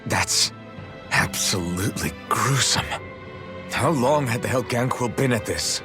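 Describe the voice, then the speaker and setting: A man's voice: mid-range voice, expressive, unhurried, lightly acted. A man voicing an earnest, intense young swordsman hero in a dramatic video game dub. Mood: appalled. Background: background music.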